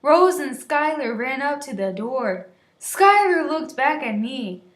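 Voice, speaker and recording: High-voiced woman reading slowly in an echoey room. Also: big pitch swings. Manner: giggly, stumbling over words, shouting the quoted lines. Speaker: reader of an amateur online story. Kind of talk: dramatic reading of a story, with stumbles and casual asides. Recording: roomy, echoey voice recording.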